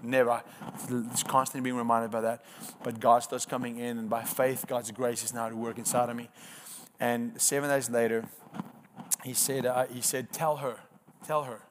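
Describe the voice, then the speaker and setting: Afrikaans guy preaching his testimony, middle pitch, earnest and repeats himself for emphasis, bit of faint noise behind. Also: flat delivery, normal speed. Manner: earnest storytelling with emphatic repetition. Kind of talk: sermon testimony.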